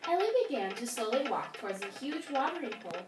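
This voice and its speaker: A woman tells a storybook folktale with a very lively, swooping delivery. She is expressive and emphatic. The recording is roomy, picked up some way from the mic, with faint noise. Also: a little high, quick pace, distant mic, music in the background. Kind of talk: dramatic storybook narration of a folktale.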